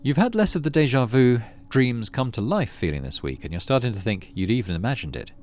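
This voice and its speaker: A man's voice: medium pitch, very animated, fairly quick, darkish tone. A man hosting a game playthrough, reading story text aloud expressively and adding casual asides. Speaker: gaming channel host.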